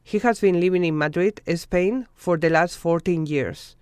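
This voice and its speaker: A documentary narrator reading a scripted news report in a measured, formal way from a studio voice-over. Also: medium pitch, a little mellow, clear, resonant tone, dry recording.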